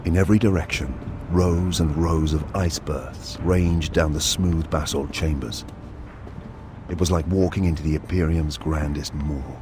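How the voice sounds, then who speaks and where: An actor playing a cold, iron-willed inquisitor in a video game, speaking in a grave, measured, deliberate way. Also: male voice, very deep voice, fairly even intonation, music in the background. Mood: grave, brooding. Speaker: protagonist and narrator. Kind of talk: scripted dramatic narration in a video game.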